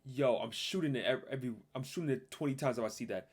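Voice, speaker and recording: A man's voice: medium pitch, fast. A man giving casual, slangy reaction commentary as a streamer, exclamatory and often repeating himself.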